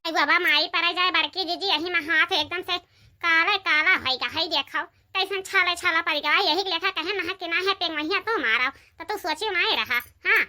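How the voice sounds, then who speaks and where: A woman voicing a quarrelsome, sharp-tongued young village daughter-in-law with an Awadhi accent in a comedy cartoon, scolding and arguing. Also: extremely high pitch, moderate pace, heavily acted. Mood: complaining. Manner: scolding, threatening, argumentative. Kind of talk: Hindi dialect comedy cartoon dialogue.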